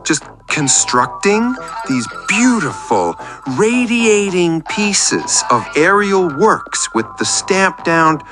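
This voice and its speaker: A man's voice: high voice, very animated, takes it slowly, few pauses, music in the background, heavily acted. A man playing an earnest, lonely, oversharing oddball client in a radio comedy, rambling in odd detail. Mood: earnest, dreamy. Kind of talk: scripted radio comedy monologue.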